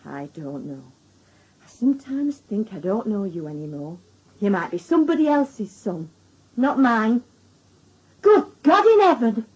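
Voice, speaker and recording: A woman's voice: fairly high pitch, big pitch swings, measured pace, breathy edge, exaggerated voice. An actress playing a harried, nagging middle-aged wife and mother with a Northern English accent in a radio drama. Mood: worried, reproachful. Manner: nagging, exasperated, repeats questions. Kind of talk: naturalistic radio drama acting. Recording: slightly dull, lo-fi radio recording.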